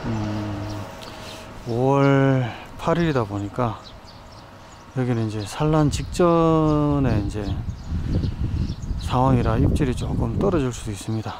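A man hosting a fishing vlog, calmly explaining his lure choices and tactics outdoors by a rural pond. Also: mid-range voice, measured pace, pauses often. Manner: calm, explanatory, practical tips. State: hot from the weather. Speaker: fishing channel host. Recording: outdoor noise, nature sounds. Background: birds and countryside ambience.

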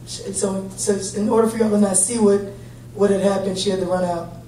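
Soft-toned woman coach in an echoey room. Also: mid-range voice, a little fast. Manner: steady, plain-spoken, upbeat. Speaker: head basketball coach. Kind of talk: post-game press conference answers. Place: large conference room. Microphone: table mic, heard from a distant camera. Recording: echoey room, distant mic, faint hum.

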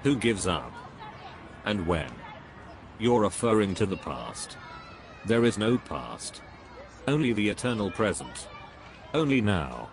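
A man's voice: on the low side, very animated, slow pace, long pauses, a crowd in the background, exaggerated voice. A man playing a pompous, dogmatic spiritual guru in a scripted cartoon dialogue, preachy and dismissive. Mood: dogmatic, contradicting.

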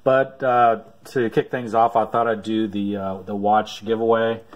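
A man casually showing his auction finds to the camera from behind it, filling his speech with "uh" pauses at home. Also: flat delivery, highs cut off. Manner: unhurried, many "uh" pauses, low-key. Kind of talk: casual off-camera show-and-tell. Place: home room at a desk.

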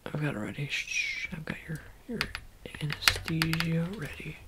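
A man in his thirties doing a soft-spoken, whispery ASMR doctor roleplay in a hesitant, halting mumble, close to the mic. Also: a little high, little pitch movement. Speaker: ASMR roleplayer playing an eye surgeon. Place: small dim room, indoors. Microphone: close to the mic, near camera. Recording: noisy close-up home recording with clicks.

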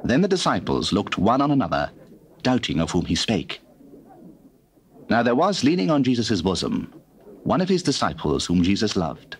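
Man, lowish voice, animated intonation, formal dramatic scripture narration, noisy old tape. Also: normal speed, pauses often. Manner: formal, theatrical storytelling. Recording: noisy old cassette recording.

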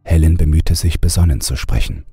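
A man narrating a scripted horror story with a husky, raspy voice. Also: rumbling low, fairly quick, warm tone, no room sound, faint music behind.

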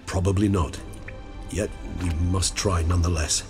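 A man playing a courteous, erudite vampire gentleman in a video game, measured, formal and eloquent. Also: sits low, fairly even intonation, music in the background, lightly acted. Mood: solemn. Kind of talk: dramatic video game acting.

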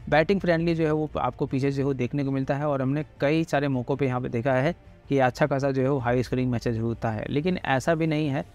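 Brief: fast-talking male cricket tipster, mid-pitched, rambling filler-heavy explainer, faint music under. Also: steady tone, a little mellow. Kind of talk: casual Hindi pitch-report explainer over slides. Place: voice-over on screen recording.

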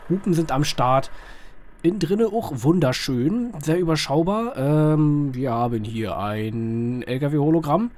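A slightly hoarse man gives casual, rambling, colloquial commentary on a driving game, often asking himself little questions. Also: a little high, expressive, slow, darkish tone. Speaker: gaming video host. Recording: clear vehicle engine noise behind voice. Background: truck simulator engine sound.

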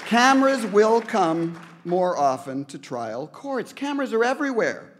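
A man's voice: sits high, sing-song, measured pace, some chatter behind, slight background noise. A middle-aged man from Canada giving an earnest acceptance speech at a podium in a large banquet hall, stressing his points with repeated phrases. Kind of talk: prepared speech read at a podium. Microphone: podium microphones.

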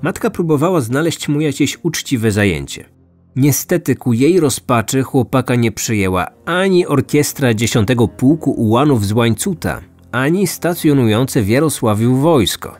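A man hosts a true-crime story in scripted narration. His voice sits at a middle pitch, with music playing behind it. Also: lively intonation, normal speed, darkish tone. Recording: music under the voice. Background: background music.

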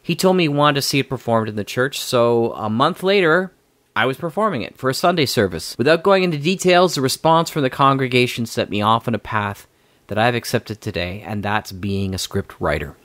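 A man's voice: medium pitch, animated, brisk, a bit bright, no room sound. Male podcast host, dry close sound. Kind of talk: spoken show introduction.

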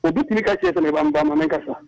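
A man from Ghana calling in to a radio show, arguing his point and rushing through figures. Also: extremely high pitch, very animated, creaky, crowd noise. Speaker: caller. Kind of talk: phoned-in political opinion. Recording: phone line on air.

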